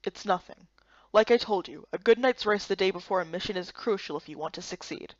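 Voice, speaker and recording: A woman voicing a calm, reserved man in a visual novel game, with a low-key, clipped way of talking. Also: a little fast, dry recording, lo-fi sound, natural voice. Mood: matter-of-fact. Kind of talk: visual novel character voice-over.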